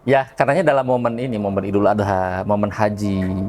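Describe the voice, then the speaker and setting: A man's voice: fairly low pitch, fairly even intonation, brisk, a little mellow, slight background noise. A man speaking as a religious podcast guest, explaining things conversationally and often checking in with tag questions. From Indonesia.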